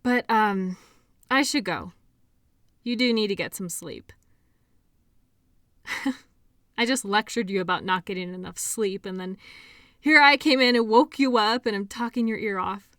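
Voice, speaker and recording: A woman playing a caring, shy doctor in an intimate audio roleplay, talking softly and hesitantly in a conversational way. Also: medium pitch, measured pace, long pauses, no echo, studio quality, close to an everyday voice. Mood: flustered, shy. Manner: soft, hesitant, giggly, conversational.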